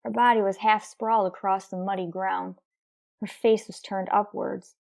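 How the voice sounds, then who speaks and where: A woman's voice: medium pitch, normal speed, vocal fry, natural voice. A woman playing a determined, businesslike police detective sergeant, narrating a radio crime drama in a clipped, matter-of-fact way. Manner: terse, deadpan, procedural. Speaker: narrator and lead actor.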